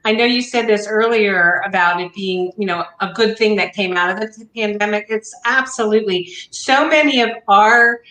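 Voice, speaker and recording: A woman hosting a bookstore's virtual author event, enthusiastic and quick to agree. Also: medium pitch, bright, forceful tone, echoey room, rough recording. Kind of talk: casual conversation on a virtual event. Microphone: video call.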